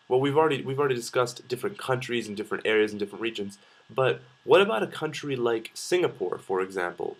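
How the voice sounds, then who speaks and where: A young Canadian man with a fairly low voice talks casually to his viewers, as a video blogger would. He shares opinions in a loose, rambling way, hesitating and breaking into his own sentences as he goes. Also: rough recording. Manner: hesitant, rambling, self-interrupting. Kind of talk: casual opinion vlog, talking to camera.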